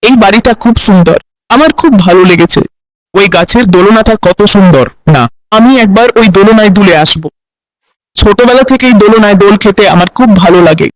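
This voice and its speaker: A performer voicing a cheerful, curious, soft-hearted young wife with an eager manner in a cartoon. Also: mid-range voice, normal speed, no echo, lightly acted, poor audio. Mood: delighted.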